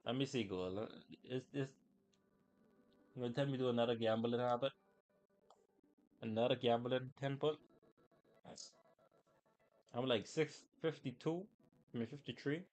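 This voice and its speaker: A man's voice: mid-range voice, measured pace, pauses often, a little mellow. A man chatting casually on a gaming livestream in a slangy, informal way, talking to his viewers. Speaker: game livestreamer.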